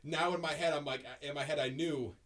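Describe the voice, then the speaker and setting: A man's voice: a little high, fairly even intonation, fairly quick, fairly bright tone. A man hosting a podcast in casual chat, telling stories in a rambling, emphatic way, full of ums and restarts. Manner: rambling, heavy on fillers and restarts, emphatic storytelling. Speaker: podcast host.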